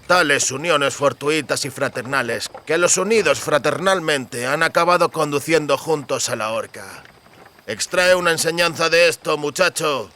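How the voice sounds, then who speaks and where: A man's voice: fairly high pitch, moderate pace, sharp tone. A man voicing a haughty mercenary soldier with archaic, emphatic phrasing in a fantasy audiobook. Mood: grave, admonishing. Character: gruff, mustached mercenary soldier, haughty and approving.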